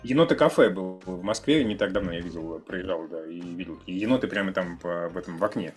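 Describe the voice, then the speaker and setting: A man's voice: low-pitched, fast. A man in a casual podcast discussion, hesitantly searching for his words. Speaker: podcast participant. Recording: music in the background. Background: music.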